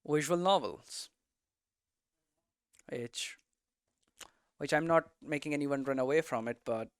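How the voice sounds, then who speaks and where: A man's voice: on the high side, clear, resonant tone, no room sound, clean studio sound. A man giving an informal lesson, thinking aloud conversationally and often checking in with tags like "right?". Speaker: game development streamer and teacher.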